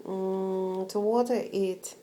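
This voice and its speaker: Slow hesitant woman with a Russian accent. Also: medium pitch, fairly even intonation, warm tone, a little hiss or hum. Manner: hesitant, many fillers and restarts. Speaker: orchid-growing vlogger. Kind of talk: casual home vlog.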